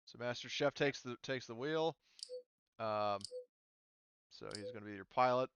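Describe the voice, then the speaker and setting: A man's voice: medium pitch, normal speed, fairly bright tone, highs cut off, a little hiss or hum. A man narrating a tabletop roleplaying game as game master in a casual, informal way, thinking aloud as he goes.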